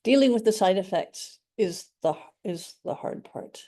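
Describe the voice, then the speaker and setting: A woman's voice: medium pitch, expressive, measured pace, mellow. A middle-aged woman from Canada with a Canadian accent, a radiation oncologist giving an earnest, enthusiastic talk to a support group, with frequent ums.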